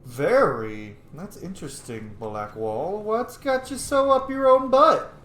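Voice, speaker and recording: A man's voice: high voice, expressive, takes it slowly, a bit bright. A man hosting a game playthrough with casual, playful, sweary commentary. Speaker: gaming video host. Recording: echoey room, faint noise.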